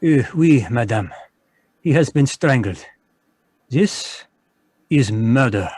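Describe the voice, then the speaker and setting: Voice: medium pitch, expressive, takes it slowly, darkish tone, husky, dry recording. Performer playing a fussy Belgian French-accented male detective, courtly, hesitant, dry close sound. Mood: probing. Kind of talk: live radio drama reading. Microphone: video call.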